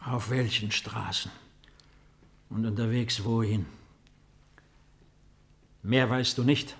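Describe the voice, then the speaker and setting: An actor in a 1950s German radio drama playing a composed, weary old ruler with a formal, measured, authoritative manner. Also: male voice, mid-range voice, fairly even intonation. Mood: anxious, probing.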